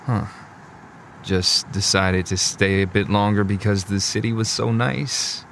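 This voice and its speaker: A low, gravelly middle-aged cabbie, played by a man in an audio drama. He chats in an unhurried, easygoing and friendly way, with faint noise in the recording. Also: steady tone, fairly bright tone. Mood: curious, chatty. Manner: easygoing, casual, chatty. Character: friendly, chatty middle-aged male cab driver.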